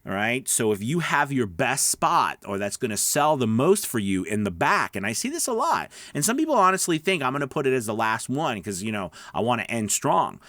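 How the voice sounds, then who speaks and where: Middle-aged man, mid-pitched voice, conversational coach, emphatic, checks in with listeners, dry recording. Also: big pitch swings, brisk, hardly any pauses, a bit bright, no echo. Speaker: voice-over coach and online host. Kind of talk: casual instructional talk to camera.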